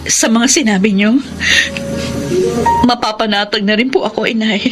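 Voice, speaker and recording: A woman's voice: a little high, fairly even intonation, normal speed, natural voice. An actress with a Filipino accent playing an anguished, determined young mother in a radio drama. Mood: solemn, resigned. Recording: music behind the voice.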